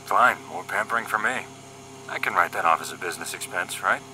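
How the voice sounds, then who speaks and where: A man's voice: sits low, a little fast, pushed and bright, slight background noise, low-quality recording. A man acting as a wisecracking young paramilitary agent in a scripted audio drama, cocky and flippant in his banter. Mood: breezy.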